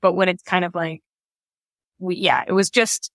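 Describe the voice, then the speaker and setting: A woman's voice: lowish voice, flat delivery, normal speed, no echo, studio quality. A woman chatting casually as an interview guest, candid and wry, often acting out imagined remarks to explain herself. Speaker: interview guest, audio drama creator. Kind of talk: casual chat.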